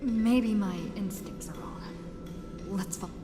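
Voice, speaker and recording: An actress playing a wary young heroine in a video game, hesitant and musing to herself with doubt. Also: female voice, medium pitch, slow, close to an everyday voice. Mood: uneasy, doubtful. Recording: echoey long reverb, game music behind.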